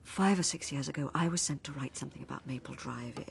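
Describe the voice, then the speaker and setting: A woman's voice: a bass voice, quick pace, creaky. British woman journalist, background noise. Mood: reluctant, sombre. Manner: hesitant, conversational, soothing. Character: chatty female journalist turned reluctant bearer of grim news. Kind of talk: radio drama acting.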